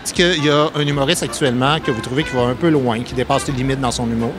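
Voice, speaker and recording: A man in his thirties with a Québécois accent, interviewing passersby in a shopping mall with a handheld mic, animated and teasing. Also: medium pitch, fast talker, music in the background. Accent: Québécois French. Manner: animated, teasing, reads jokes aloud. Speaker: street interviewer, comedian. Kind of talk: vox pop street interview.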